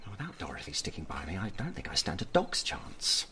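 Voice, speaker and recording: An actor playing a smooth, self-serving British colonial lover in a radio drama, condescending and placating. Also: male voice, sits low, huge pitch swings, a little fast, pushed and bright, slight background noise, exaggerated voice. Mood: patronising, self-justifying.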